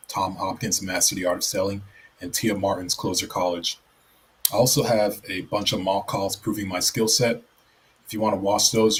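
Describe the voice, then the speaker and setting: A man reading his job pitch in a flat, low-energy way. His voice is deep, and faint background noise sits under it. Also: poor audio. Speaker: job applicant.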